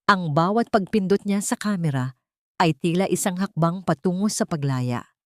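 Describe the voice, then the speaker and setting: Expressive, low-pitched woman narrator. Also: normal speed, no room sound, clean studio sound. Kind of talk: scripted dramatic story narration.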